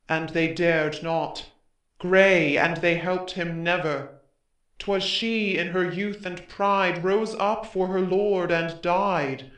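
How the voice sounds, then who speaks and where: A man's voice: on the high side, a bit bright. A man playing a mournful, tender chorus member in lyrical Greek verse tragedy. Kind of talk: classical verse drama reading. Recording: echoey room.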